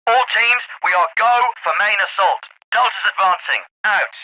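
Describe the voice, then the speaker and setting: An actor playing a curt, commanding British navy captain in a military game, with clipped, authoritative speech through a radio filter. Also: male voice, sits high, lively intonation, normal speed, bright, forceful tone, dry recording, heavily acted. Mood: brisk, controlled. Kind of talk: scripted military radio dialogue. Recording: muffled, band-limited noisy radio transmission.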